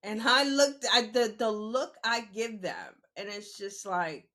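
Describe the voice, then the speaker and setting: A woman in a candid, conversational monologue. Her delivery is assertive and emphatic, and she circles back to phrases to drive them home. Her pitch sits in a middle range for a woman. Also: lively intonation, measured pace, sharp tone. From New York City, born in the Bronx and raised in Queens. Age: 50s. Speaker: podcast host.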